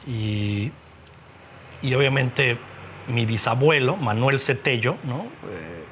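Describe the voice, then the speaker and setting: A middle-aged man with a Mexican accent, talking reflectively in an interview, haltingly and with frequent tag questions. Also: medium pitch, animated, fairly bright tone, slight background noise. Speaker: interviewed graphic designer and teacher. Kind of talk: reflective personal interview talk.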